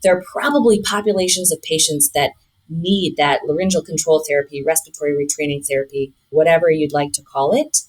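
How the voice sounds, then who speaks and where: Lower-pitched woman, measured medical expert. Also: breathy edge, low-quality recording. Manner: measured, articulate, uses clinical terms. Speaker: physician researcher interviewed as guest. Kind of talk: podcast interview, explaining research.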